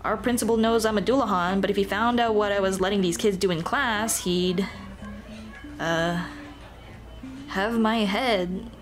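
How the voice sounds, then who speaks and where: A woman playing a shy, earnest Dullahan schoolteacher in an ASMR roleplay, speaking softly and expressively. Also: medium pitch, steady tone, measured pace, long pauses, soft background music, lightly acted. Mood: playful, proud. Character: shy, earnest female Dullahan teacher, headless monster girl on a date.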